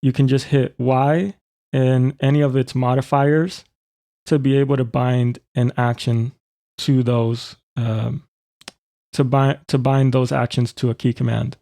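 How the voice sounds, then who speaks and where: A man walks through a software tutorial step by step in an informal way. His voice is gravelly and mellow, of middling pitch, recorded close and very dry. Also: level tone, a little slow, no echo. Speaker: tutorial presenter.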